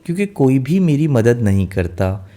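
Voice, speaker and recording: Man voicing an old clay oven, earnest and mellow. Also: medium pitch, sing-song, moderate pace, pitched above the performer's own voice, close to an everyday voice. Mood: pleading. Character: old cracked clay oven, male, politely pleading for help.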